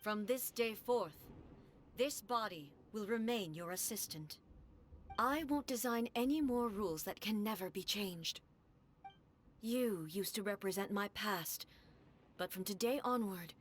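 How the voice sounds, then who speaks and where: An actress playing a stern, cold female ruler puppet who speaks slowly, formally and without feeling in a game cutscene. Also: mid-range voice, a little hiss or hum, lightly acted. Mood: cold, measured. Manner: slow, measured, formal. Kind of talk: video game cutscene voice acting.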